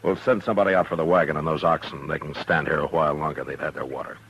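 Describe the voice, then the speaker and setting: An actor playing a stern, steady frontier marshal in an old western radio drama, speaking in a terse, firm manner. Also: male voice, a bass voice, racing, dull audio. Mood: firm warning. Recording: noisy old-time radio recording.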